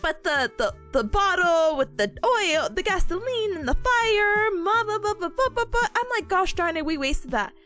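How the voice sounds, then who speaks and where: A woman's voice: very high-pitched, sing-song, moderate pace, hardly any pauses, bright, forceful tone, soft background music. A young woman streamer chatting casually with her viewers, bubbly, giggly and playful, reading comments as she goes. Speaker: study streamer.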